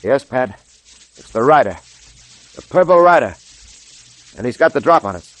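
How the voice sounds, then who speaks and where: An actor playing a principled, determined police detective in a measured, firm way, in 1930s radio drama style. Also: male voice, mid-range voice, big pitch swings, a bit bright, dry recording. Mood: tense. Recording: vintage 1930s radio recording.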